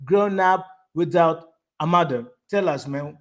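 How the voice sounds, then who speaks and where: A man hosting a live online interview, excitable and repeating himself, often stopping to restart a phrase. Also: fairly high pitch. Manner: enthusiastic, repeats words, halting restarts. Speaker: host of a live online show. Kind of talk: casual interview.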